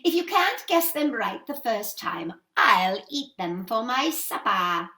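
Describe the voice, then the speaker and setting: Woman doing a mean, slow-drawling storybook witch. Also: on the high side, very animated, pushed and bright, echoey room, heavily acted. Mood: menacing. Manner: slow, drawn-out, imperious refusals. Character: mean, hungry one-legged witch, stern and greedy.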